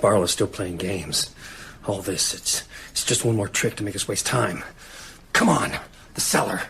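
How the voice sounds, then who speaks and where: Grim determined guy in a radio play, kinda low voice, intense, haunted, bit of background noise. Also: a little slow, vocal fry, pushed and bright, full character voice. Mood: tense. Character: haunted, grieving, determined man out for revenge. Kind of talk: radio drama acting and narration.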